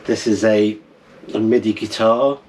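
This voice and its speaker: Low-voiced middle-aged man, a bit distant. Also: steady tone, unhurried. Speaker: vlogger, amateur radio hobbyist. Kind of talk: casual chat to camera. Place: small wooden cabin, indoors. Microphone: camera a few metres away. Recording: distant camera mic, noisy room.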